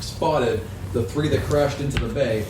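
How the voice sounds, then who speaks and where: A man's voice: medium pitch, measured pace. A man narrating a tabletop game as game master, explaining the scene and the rules. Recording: roomy, distant mic, faint noise.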